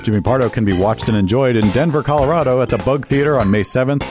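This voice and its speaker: A man's voice: medium pitch, fast talker, over music. A man co-hosting a comedy podcast, reading tour dates and making dry wisecracks. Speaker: podcast co-host. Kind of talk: reading show announcements, casual chat.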